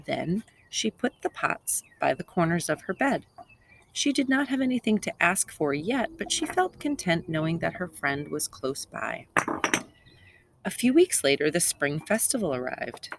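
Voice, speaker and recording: A woman's voice: lowish voice, very animated, measured pace, gravelly, background noise. A woman reading aloud to young children as a teacher, explaining simply and repeating key points. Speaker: second grade teacher.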